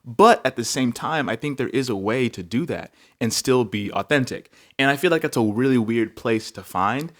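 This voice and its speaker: A young man from Africa co-hosting a faith podcast, musing aloud in casual chat with lots of fillers and lively leaps in pitch. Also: normal speed, few pauses. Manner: reflective, many filler likes, animated jumps in pitch. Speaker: podcast co-host.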